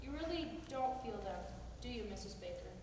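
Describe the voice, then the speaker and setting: A woman's voice: a little high, expressive, brisk, natural voice. An actress on stage playing an anxious young woman who feels haunted, speaking earnestly and nervously. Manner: earnest, nervous, then defiant. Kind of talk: amateur stage acting. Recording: echoey hall from far off, noisy.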